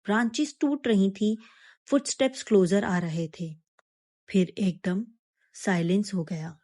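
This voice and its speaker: A woman narrating a scripted first-person suspense story in a measured, dramatic manner with pauses. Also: medium pitch, warm tone.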